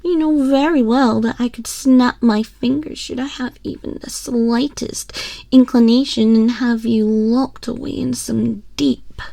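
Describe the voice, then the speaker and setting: A woman's voice: on the high side, background noise. A woman playing a haughty, condescending young empress in a scripted ASMR roleplay, teasing and imperious, speaking intimately into a close microphone. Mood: menacing. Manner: imperious, slow, theatrical, teasing drawl with breathy whispers. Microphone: close binaural microphone.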